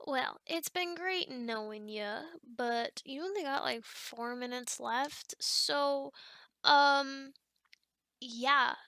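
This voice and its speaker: A woman's voice: high-pitched, slow, bright, forceful tone, dry recording, clean studio sound, exaggerated voice. A woman playing a chatty, fussy sleep paralysis demon in an ASMR roleplay, rambling casually and criticizing playfully straight to the listener.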